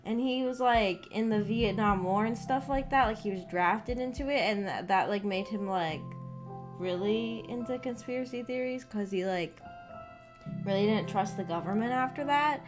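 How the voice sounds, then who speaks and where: A woman's voice: mid-range voice, normal speed. A young adult woman chatting casually on a live stream, rambling and hesitant, trailing off mid-thought. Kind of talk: casual rambling livestream chat. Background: background music with guitar.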